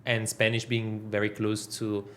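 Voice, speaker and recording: A man's voice: lowish voice, monotone, normal speed, a bit bright. Man, hesitant. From Switzerland. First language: Italian. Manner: hesitant, many fillers and restarts. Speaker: guest entrepreneur. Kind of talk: casual interview conversation.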